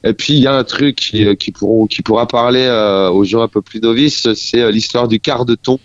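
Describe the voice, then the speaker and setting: A man in his forties from France, a musician speaking as a guest in a casual radio interview, halting and searching for his words. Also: medium pitch, dry recording, lo-fi sound.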